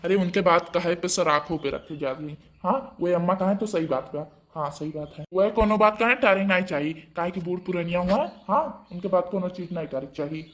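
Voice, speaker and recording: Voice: mid-range voice, fairly quick, few pauses, fairly bright tone, natural voice. A performer voicing a young married man, dutiful to his mother, with an Awadhi accent in a family cartoon. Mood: dutiful, admonishing. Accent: Awadhi, eastern Uttar Pradesh.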